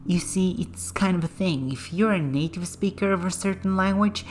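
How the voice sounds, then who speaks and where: A woman host narrating a scripted voice-over about learning languages, in a calm, explanatory way. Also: fairly low pitch, moderate pace, a little hiss or hum. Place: voice-over, not in the game. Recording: music bed behind the voice.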